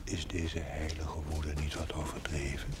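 An actor playing a calm, gently reproachful inner voice in a Dutch television drama, speaking in a breathy whisper. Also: male voice, a bass voice, measured pace, background noise. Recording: music loud behind the voice.

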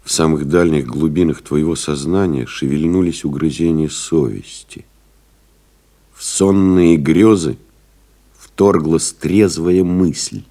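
An actor playing a pilot's devoted friend, solemnly retelling the ordeal in measured, rhetorical literary radio play narration. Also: male voice, rumbling low, warm tone, exaggerated voice. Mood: hypnotic, intense. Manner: grave, measured, rhetorical, building in intensity.

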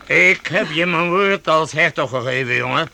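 A man acting in a Dutch radio play as a rough, jovial leader of a medieval vagrant band, bossy and halting. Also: on the high side, pushed and bright, a little hiss or hum, full character voice. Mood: hearty, commanding. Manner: hearty, bossy, halting with interjections.

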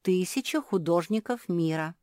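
A woman voicing a wise, kindly male pug in a storybook, measured and subdued. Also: on the low side, expressive, darkish tone, dry recording, clean studio sound, close to an everyday voice.

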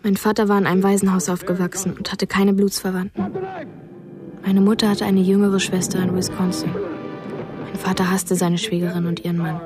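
A woman's voice: mid-range voice, flat delivery, brisk, over music, natural voice. A woman narrating a son's sober childhood memories in a radio drama, restrained and matter-of-fact. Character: James Ellroy's childhood self, soberly recalling his parents.

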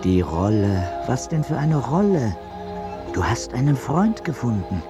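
An actor playing a mysterious, teasing companion and calm spiritual guide, gentle and instructing, in a radio drama. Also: male voice, medium pitch, a little slow, over music. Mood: teasing, reassuring.

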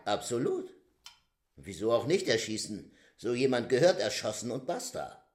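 A man's voice: mid-range voice, big pitch swings, normal speed, darkish tone, lightly acted. An actor playing a blunt, matter-of-fact demon hitman in a radio play, curt and unbothered. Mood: dismissive.

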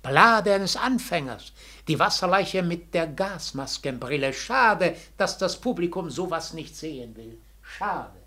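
An actor playing a middle-aged cabaret director, glib, patronizing and theatrical, with a gravelly, husky voice in a 1940s radio play. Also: male voice, fairly high pitch, lively intonation, measured pace, bright, forceful tone, exaggerated voice. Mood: mock enthusiasm. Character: glib, cynical cabaret director, condescending and dismissive. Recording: vintage 1940s radio recording.

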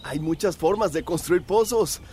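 An actor playing a knowledgeable village well builder in a radio drama, explaining methodically. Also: male voice, sits high, normal speed, dark tone, slight background noise, close to an everyday voice. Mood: instructive.

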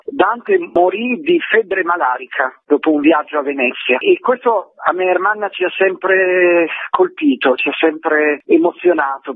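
A man's voice: high voice, vocal fry, breathy edge, no room sound. A man, a film director, explaining his work passionately over a phone line on a radio interview. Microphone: telephone.

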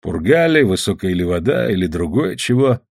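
Man playing a husky, cheerful backwoods hunter. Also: sits low, sing-song, a little mellow, no room sound, studio quality, heavily acted. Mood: reassuring. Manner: folksy, unhurried, confident. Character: cheerful, self-assured taiga hunter, easygoing backwoodsman. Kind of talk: acted audiobook dialogue.

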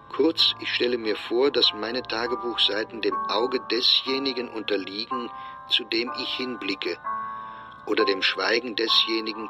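A man reading diary entries aloud for a radio drama in a measured, reflective, understated way. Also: fairly low pitch.

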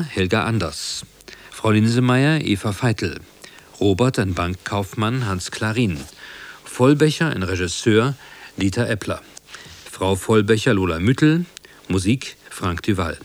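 A man reading radio credits as an announcer in a formal, measured manner. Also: lowish voice.

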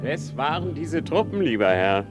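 An actor playing a bitter, mocking young prince who feigns madness in a theatrical German radio play of classical drama. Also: male voice, fairly high pitch, big pitch swings, moderate pace, over music. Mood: brooding, inquiring. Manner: theatrical, sardonic.

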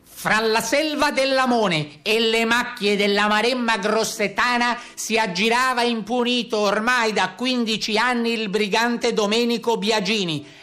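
An actor playing a formal narrator of a brigand legend, declaiming in scripted theatrical style. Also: male voice, high voice, sharp tone.

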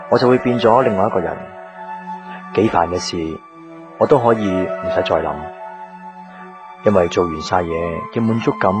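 An actor playing a subdued, lovelorn young office worker in a radio drama, with a Hong Kong Cantonese accent. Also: male voice, low voice, natural voice. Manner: subdued, introspective. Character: lovelorn young office man burying himself in work. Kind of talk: radio drama monologue and dialogue. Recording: music behind the voice.

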